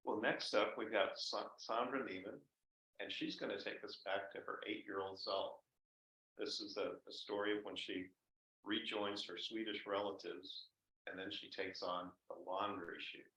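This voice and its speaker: A man's voice: on the low side, steady tone, moderate pace, sharp tone, slight background noise. A man working as emcee, thanking one teller and chattily introducing the next over a video call. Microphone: computer mic on a video call. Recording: roomy and distant from the mic.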